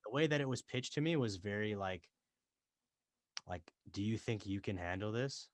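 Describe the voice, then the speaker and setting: A young man, an entrepreneur and rapper born in Dubai and raised in Canada, chatting casually as a podcast guest from a small closet home studio, relaxed and full of fillers. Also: fairly low pitch, normal speed, no echo. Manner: relaxed, chatty, many fillers and self-quoting. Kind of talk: casual interview chat.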